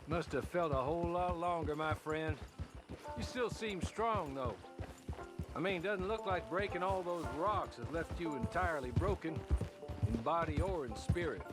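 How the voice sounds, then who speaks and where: An actor playing a polite, composed Old West gentleman's agent with a quiet menace and a formal, measured way of talking in a western video game. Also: on the high side.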